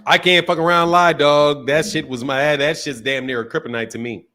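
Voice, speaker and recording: Male stream host, high-pitched, animated, slangy and profane, repeats himself, reacting to chat. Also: normal speed. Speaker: boxing talk show host reading chat. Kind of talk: casual live-stream chat.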